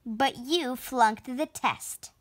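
A woman's voice: fairly high pitch, very slow, no echo, clean studio sound. A young girl voicing a big, bossy, bullying elephant schoolgirl in a storybook, scornful and goading.

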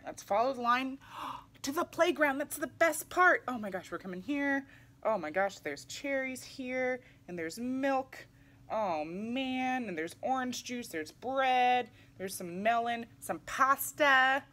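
A woman reading a picture book aloud to young children in an animated, exclamatory way. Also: fairly high pitch, unhurried. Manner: animated, exclamatory, with audible gasps. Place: small indoor room, seated in armchair.

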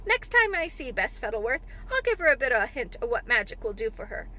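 A man's voice: very high voice, big pitch swings, fast, bright, forceful tone, full character voice. Woman voicing a testy old Yorkshire gardener. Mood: amused admiration. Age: older. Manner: blunt dialect grumbling. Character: testy, shrewd old Yorkshire gardener, gruff but chuckling.

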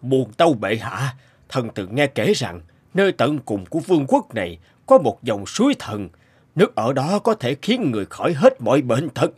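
A theatrical fairy-tale courtier with sweeping intonation. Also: male voice, medium pitch, very animated, normal speed, darkish tone, husky. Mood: solemn, reverent. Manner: formal, theatrical. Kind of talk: animated fairy-tale dubbing.

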